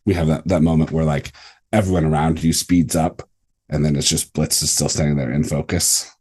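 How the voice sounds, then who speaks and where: A man narrating as game master of a tabletop role-playing game, in a relaxed, hesitant way with fillers. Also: very deep voice, brisk, husky.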